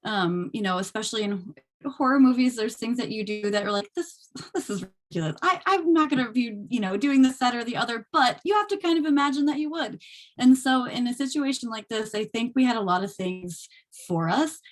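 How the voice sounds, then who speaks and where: Higher-pitched woman, casual interview guest. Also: expressive, a little fast. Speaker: actor as panel guest. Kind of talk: casual, reflective interview answer. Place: remote video call.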